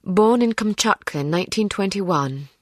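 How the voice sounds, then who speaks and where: An actress playing a determined, sharp-tongued young British spy in a video game, speaking in a dry, articulate way. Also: female voice, mid-range voice, animated, normal speed, no echo, lightly acted. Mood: matter-of-fact briefing.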